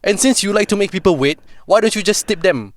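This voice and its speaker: A man's voice: sits high, expressive, fast talker, dry recording, voice pushed up. A man voicing a brash male life coach who rants in a scolding, exaggerated Singaporean accent. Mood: mocking rant. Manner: scolding, exaggerated, sing-song.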